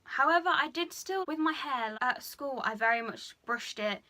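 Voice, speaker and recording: A young woman talking frankly to camera in a personal vlog, chatty and full of fillers, speaking a little lower than she usually does. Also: lively intonation, moderate pace, bright, forceful tone. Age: young adult. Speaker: vlogger. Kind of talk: candid personal confession.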